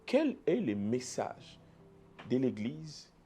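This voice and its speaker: A man with a mid-range voice hosts a faith-themed interview. He frames his questions slowly and falters over a word before he gets going. Soft music sits faintly behind him. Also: mid-range voice, wildly swooping pitch. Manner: frequent murmured approval, hesitant restarts when asking. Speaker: interview host.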